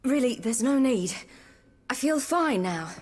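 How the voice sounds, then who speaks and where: Evasive, polite young traveller: breathy, slightly high voice, measured and reticent, video game role. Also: female voice, lively intonation, darkish tone. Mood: polite, urgent. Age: young adult. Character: guarded, weary young woman traveller, polite but evasive.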